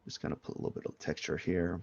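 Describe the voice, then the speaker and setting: A man with a cold talks in a low, gravelly voice, sniffling as he rambles loosely through his drawing steps. The sound is dry and dull, like lo-fi stream audio with no room echo. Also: flat delivery, quick pace, darkish tone. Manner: loose and rambling, many fillers. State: has a head cold, sniffling. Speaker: artist streaming a drawing session. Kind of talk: casual live drawing commentary.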